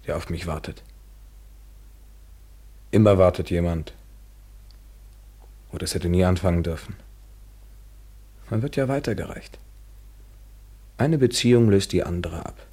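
Radio drama lover, male: very deep, husky, ironic, measured, world-weary but tender. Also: mellow. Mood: detached, resigned. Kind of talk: literary German radio play acting, 1970s.

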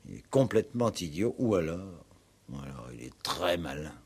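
An actor playing a shrewd police commissioner in a radio play, measured and inquisitive, with a lightly ironic manner. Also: male voice, low-pitched, sing-song, a little mellow, slightly rough, exaggerated voice. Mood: musing. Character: shrewd police commissioner, calm, wry, courteous investigator. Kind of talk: 1960s French radio play acting.

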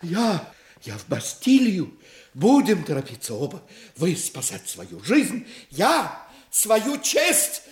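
Radio drama: honourable, impassioned aristocrat, declamatory, extremely high-pitched, wide intonation, roomy echo. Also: male voice, huge pitch swings, slow, exaggerated voice. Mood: urgent, resolute. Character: honourable, outraged male nobleman, passionate and proud. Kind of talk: Russian radio play acting. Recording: roomy, echoing radio play sound.

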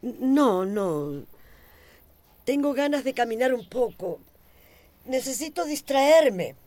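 Low-voiced, cantankerous elderly Central American matriarch. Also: female voice, big pitch swings, slow pace, darkish tone, no room sound. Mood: wistful, restless. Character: cantankerous elderly widowed matriarch, restless, affectionate underneath. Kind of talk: radio drama acting.

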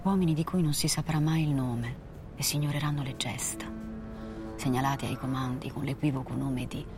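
A woman's voice: extremely low pitch, steady tone, brisk. A woman reading scripted literary narration for a documentary film in a grave, reflective manner. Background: music.

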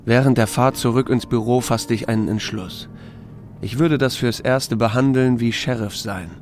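An actor playing a laconic, wry small-town sheriff who narrates a radio drama in a gravelly, dry, unhurried voice. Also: male voice, medium pitch, fairly even intonation, faint music behind, natural voice. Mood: brooding, musing. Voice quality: gravelly, creaky. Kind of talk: scripted first-person drama narration.

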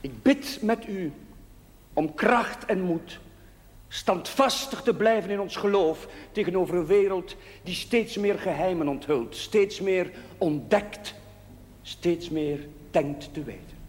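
An actor playing a devout village priest in a television drama, preaching solemnly in measured, emphatic cadences. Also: male voice, high-pitched, animated. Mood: solemn, tender. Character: devout male village priest bidding his parish farewell. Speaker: parish priest. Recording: echoey church hall reverb, background hiss.

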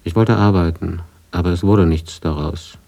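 A man's voice: low-pitched, fairly even intonation, normal speed, vocal fry, darkish tone. An actor playing a brooding writer who reads his old travel diary in terse, reflective fragments in a radio play. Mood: brooding, uneasy. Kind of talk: radio drama diary reading.

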